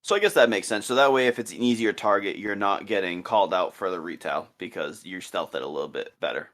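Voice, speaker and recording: A man's voice: deep voice, fairly quick, fairly bright tone. An easygoing man co-hosting a casual podcast, agreeable and talking through numbers step by step. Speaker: podcast co-host. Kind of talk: casual podcast chat about a game.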